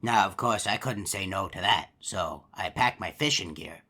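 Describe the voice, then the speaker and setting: An easygoing hippo animatronic, voiced by a man, deep yet bright and forward in tone. He rambles through a folksy yarn, peppered with uh and you know. A fan-game character monologue. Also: normal speed, breathy edge, heavily acted. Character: chatty male hippo animatronic, easygoing storyteller.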